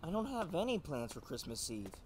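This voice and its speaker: A man playing a grumpy, baffled male high school student who is sick with a cold, in an anime fan dub. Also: very animated, fairly quick. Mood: baffled, irritated. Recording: amateur dub with faint noise.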